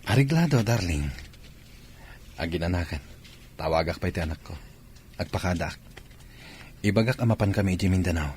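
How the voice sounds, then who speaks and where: An actor playing a soldier husband about to be deployed, speaking in a subdued, matter-of-fact way in an Ilocano radio drama. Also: male voice, low voice, lively intonation, brisk, long pauses, a little mellow, slight background noise. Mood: tender.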